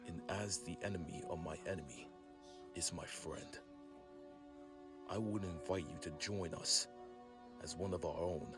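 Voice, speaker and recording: A dignified blind Mongolian chief, played by a man, speaks very low and takes his time over every phrase. He is formal, calm and comforting, a wise comic-book elder, with loud score music behind him. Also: a little slow, pauses often. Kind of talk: amateur comic dub.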